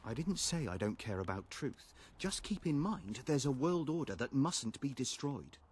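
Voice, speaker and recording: A haughty lord in a fantasy game, self-righteous and lecturing. His male voice is husky, with a lively rise and fall. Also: mid-range voice, normal speed. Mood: disdainful. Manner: pompous, lecturing. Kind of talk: video game dialogue acting.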